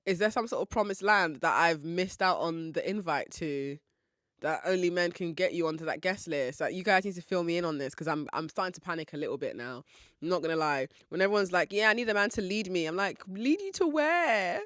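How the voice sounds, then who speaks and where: A woman's voice: deep voice, fairly quick, fairly bright tone, no room sound, clean studio sound. A young woman from hosting a solo podcast in a chatty, playful way, often laughing and asking listeners questions. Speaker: podcast host.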